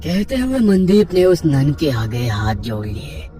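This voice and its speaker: A man narrates a scripted horror tale. His delivery moves widely in pitch. The voice sits a little back from the mic, with music clearly behind it. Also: big pitch swings, a little slow, dark tone, distant mic.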